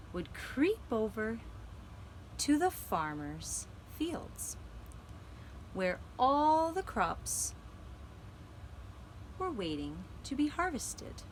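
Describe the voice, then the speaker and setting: Female children's storyteller, gentle and deliberate, lilting over a wide range, with slight background noise. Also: fairly high pitch, low-quality recording. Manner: slow, deliberate, dramatic phrasing with pauses. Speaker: storyteller and puppeteer.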